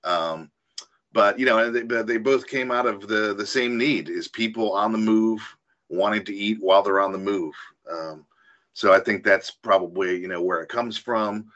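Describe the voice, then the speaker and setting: Low, bright-toned author in an interview. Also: male voice, normal speed, dull audio. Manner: thoughtful, hesitant with fillers. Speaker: author and guest. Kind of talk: radio interview.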